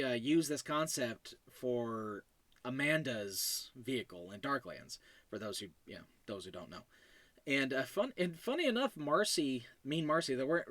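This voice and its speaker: A man's voice: mid-range voice, no echo. A man hosting a solo podcast in a casual, digressive, self-deprecating way, talking about his own fiction. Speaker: podcast host.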